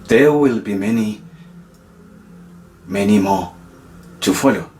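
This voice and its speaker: A man acting a defiant, resolute young freedom fighter on trial in a dramatic monologue, speaking deliberately with long pauses. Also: lowish voice, a little mellow, a little hiss or hum. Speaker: actor.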